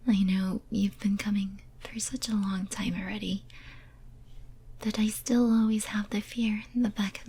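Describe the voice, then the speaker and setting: Casting: actress for lonely caged female demon, ASMR roleplay. Very breathy whisper, slow, tender and hesitant. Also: mid-range voice, mellow. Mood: anxious, wistful. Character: lonely, clingy, pleading female demon sealed in a cage.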